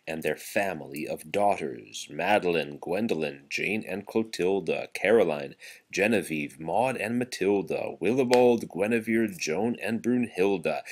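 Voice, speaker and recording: Low-voiced man, very animated storybook reader. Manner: theatrical, rhythmic phrasing. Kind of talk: expressive children's read-aloud of rhyming verse.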